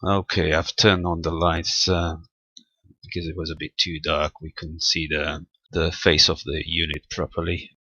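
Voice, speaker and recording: Male hobbyist demonstrator, low voice with a bright edge, step-by-step explanations with hesitant pauses. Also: level tone, breathy edge, dry recording, dull audio. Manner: halting, methodical, explanatory. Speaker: amateur radio hobbyist presenting equipment. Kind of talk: unscripted screen-recorded technical walkthrough.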